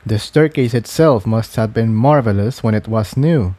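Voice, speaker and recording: A man's voice: lowish voice, normal speed, dark tone, no echo. A man reading a scripted adventure story aloud as its narrator. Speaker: fan fiction narrator.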